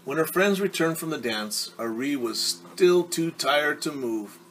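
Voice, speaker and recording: A man in his forties reading a storybook aloud as a teacher, pausing to explain words and ask questions. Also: fairly high pitch, expressive, a bit bright, slight background noise, rough recording. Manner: explains words slowly, asks rhetorical questions. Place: small room at home.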